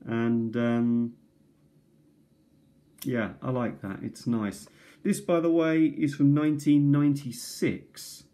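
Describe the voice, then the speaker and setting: A man casually showing his cassette tape collection at a kitchen table, recorded on a tablet, pausing often with ums and restarts. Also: mid-range voice, level tone, dark tone. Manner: hesitant, many ums and restarts. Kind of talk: casual show-and-tell. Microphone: tablet camera mic.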